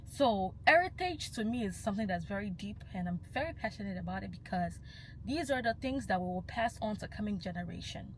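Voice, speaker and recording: A woman's voice: mid-range voice, animated, a little fast, slight background noise, poor audio. Passionate young woman. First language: Yoruba. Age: young adult. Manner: passionate and emphatic, slipping into Yoruba phrases. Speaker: vlogger. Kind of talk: casual vlog monologue.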